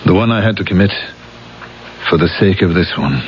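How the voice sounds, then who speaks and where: A man acting a brooding, guilt-ridden police captain in a 1970s radio drama, with dramatic, halting delivery. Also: deep voice, a little hiss or hum, lightly acted. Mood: pained, tender. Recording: muffled old radio broadcast, loud music behind.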